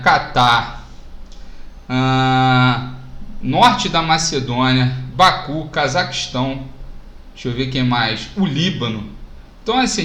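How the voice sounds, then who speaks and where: A Brazilian man teaching economics to camera, casual and animated as he points out figures on a chart. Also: on the high side, echoey room, slight background noise. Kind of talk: lecture to camera over charts.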